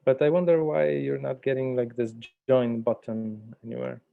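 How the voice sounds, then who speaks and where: A man's voice: medium pitch, flat delivery, moderate pace, gravelly. A man chatting casually as he coordinates an online session, in a hesitant, tentative way that checks with others. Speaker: workshop host and online meeting organiser. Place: online video call. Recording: dull, muffled video call audio.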